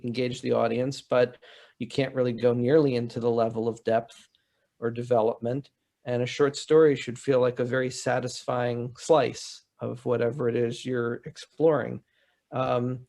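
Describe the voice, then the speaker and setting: A man speaking as a writer on a panel, explaining things conversationally with halting starts and self-interruptions. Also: mid-range voice, gravelly, a little mellow. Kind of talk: casual panel discussion.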